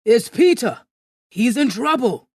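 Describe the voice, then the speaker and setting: A woman's voice: fairly high pitch, very animated, slow pace, breathy edge, dry recording, clean studio sound, heavily acted. A woman voicing earnest, easily alarmed village men in a storybook, calling out with urgent emphasis.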